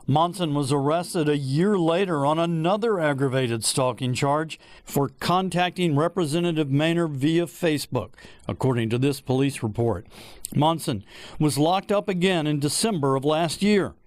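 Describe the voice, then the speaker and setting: An American man narrating a scripted TV news report as an investigative reporter, in a measured, deliberate broadcast delivery. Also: on the high side, dry recording.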